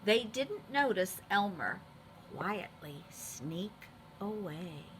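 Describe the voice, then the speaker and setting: Female preschool teacher for picture-book reading: slowish, lively intonation, expressive, addressing small children. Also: medium pitch, noisy background. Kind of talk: children's storybook narration.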